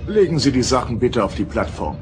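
A man's voice: medium pitch, big pitch swings, fairly quick, natural voice. Businesslike transporter officer played by a man, noisy ambience. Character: brisk, businesslike male starship transporter officer. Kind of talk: radio play acting.

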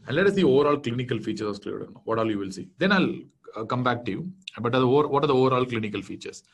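A man's voice: medium pitch, expressive, racing. A man in his thirties with an Indian English accent, a native Tamil speaker, giving a casual online medical lecture with many fillers and restarts. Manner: explanatory, conversational, many fillers and self-corrections. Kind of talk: online exam-prep lecture. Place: small indoor room.

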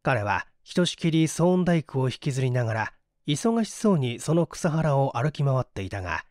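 Male audiobook reader, slightly high voice, animated intonation, dry close sound, dramatic storytelling. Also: no echo. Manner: dramatic reading, acting out dialogue. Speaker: audiobook reader, stage actor. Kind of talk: scripted narration of a mystery novel.

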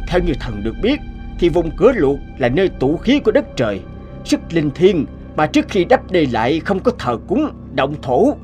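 An actor playing a scheming, obsequious cartoon court official with an insinuating, formal manner. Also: male voice, a little high, wildly swooping pitch, moderate pace, a little mellow, soft background music. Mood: accusing.

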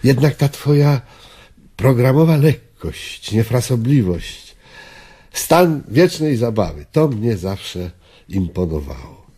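An older man playing a gentle, melancholy old friend in a radio drama, pensive, probing and a little hesitant. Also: mid-range voice, sing-song, takes it slowly, husky, exaggerated voice. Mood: apologetic, wistful.

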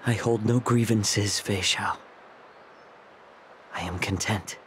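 A man voicing a weary, gentle man with failing eyes in game dialogue, speaking calmly. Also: lowish voice, slow, slight background noise.

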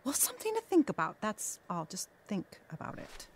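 An actress playing a wry, sharp-witted 1930s writer and wife, deadpan and pedantic, in a naturalistic radio drama. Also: female voice, mid-range voice, fairly quick, heavy background noise. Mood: wry, needling.

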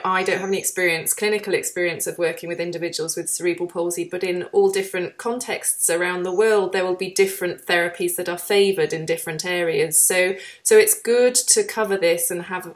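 A woman's voice: on the low side, fairly even intonation, fairly quick, few pauses. A woman hosting an online course interview, chatty with frequent "um"s as she introduces and questions her guest. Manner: friendly, chatty, many "um"s.

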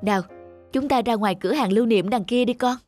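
A woman voicing a young, kindly schoolteacher in a cartoon, measured and tender. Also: fairly high pitch, natural voice. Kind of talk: cartoon voice acting. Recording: loud music behind the voice.

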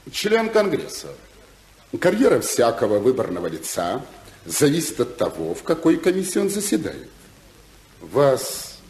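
Sly, oily briber, swooping intonation. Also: male voice, medium pitch, darkish tone. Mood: coaxing. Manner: insinuating, theatrical, drawn-out phrasing with chuckles. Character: sly, oily male newspaperman acting as briber. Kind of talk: 1950s Soviet radio play acting. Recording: roomy 1955 radio recording, faint music.

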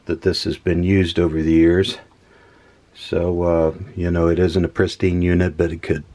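Deep-voiced man demoing gear. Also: darkish tone. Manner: unhurried, thinking aloud with many fillers. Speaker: electronics hobbyist host. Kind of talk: casual hands-on demonstration. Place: workbench room indoors.

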